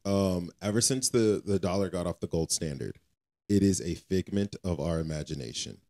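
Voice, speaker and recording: Man, American accent, low pitch, podcast co-host, casual slangy talk, repeats himself for emphasis. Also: normal speed, a little mellow.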